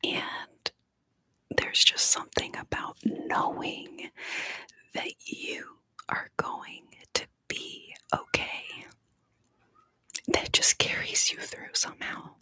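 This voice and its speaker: A woman whispering softly and slowly to her viewers, tearful and emotional, with frequent pauses. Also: dry recording, low-quality recording. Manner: soft, slow, emotional, pauses often. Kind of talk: whispered ASMR chat to viewers.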